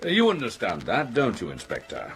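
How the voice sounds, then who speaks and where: A man's voice: mid-range voice, wildly swooping pitch, normal speed, fairly bright tone. An actor playing a pompous, irritable middle-aged factory owner and father in a radio drama, with a blustering, authoritative manner. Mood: blustering, wary. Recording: music under the voice.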